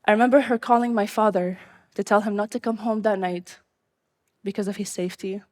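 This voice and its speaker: Young adult woman from Syria, first-person live storyteller, earnest, measured delivery, middle pitch. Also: steady tone, darkish tone.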